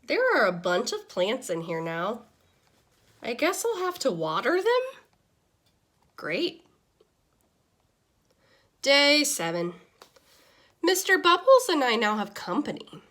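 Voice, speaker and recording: Casting: female reader playing a grumpy little storybook goldfish, slow and dramatic delivery, slightly high pitch. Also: full character voice. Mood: wry, disgusted. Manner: deliberate, dramatic, expressive. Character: small grumpy, easily exasperated pet goldfish narrating a diary. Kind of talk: expressive children's picture-book read-aloud.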